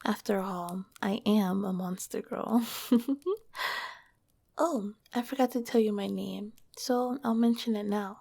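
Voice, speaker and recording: A woman voicing a gentle, shy female slime monster girl in an ASMR roleplay, whispering softly and soothingly close to the microphone. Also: mid-range voice, steady tone, slow, vocal fry. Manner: soft whispering, soothing and hesitant.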